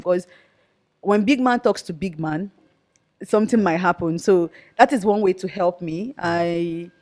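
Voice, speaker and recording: A young woman with a Nigerian accent speaking earnestly as an interview guest, with a loud, outspoken way of talking. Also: fairly low pitch. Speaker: guest, activist. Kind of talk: conversational interview.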